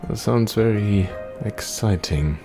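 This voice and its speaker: A calm tavern keeper in a fantasy game, played by a man, low and gravelly. The delivery is measured and wry, gently teasing, like a patient listener. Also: over music. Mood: calm, curious.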